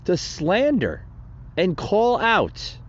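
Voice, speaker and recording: A man delivers slow, indignant political commentary on his own podcast, his pitch rising and falling a lot. He rambles and restarts often. The recording is slightly muffled, with faint noise behind him. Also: wildly swooping pitch. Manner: rambling and repetitive, with many fillers and restarts; indignant and preachy. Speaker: podcast host.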